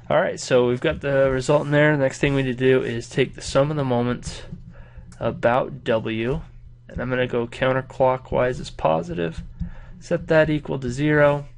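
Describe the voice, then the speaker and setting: A man's voice: mid-range voice, creaky. A man with a nasal, stuffed-up voice from a cold, walking through an engineering problem in a casual tutoring style. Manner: casual, thinking aloud while working problems. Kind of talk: whiteboard lesson walkthrough.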